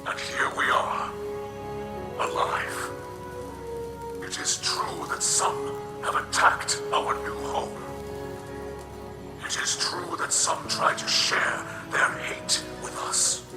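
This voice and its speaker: An actor playing a solemn, weary but hopeful alien leader in a video game, with a breathy, rasping whisper and formal, halting phrases. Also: male voice, loud music behind the voice, natural voice. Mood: solemn, rallying. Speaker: leader and mentor. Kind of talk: video game cutscene acting.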